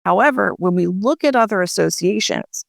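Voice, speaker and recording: A woman psychology researcher, a guest on a radio show, explaining her research in a measured, explanatory way. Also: medium pitch, expressive, gravelly, dry recording, clean studio sound. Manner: measured, explanatory, many "you know"s.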